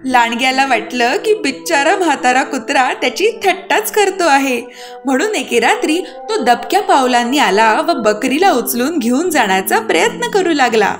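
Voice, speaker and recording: A woman narrating a scripted children's fairy tale as an expressive storyteller. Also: high voice. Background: music.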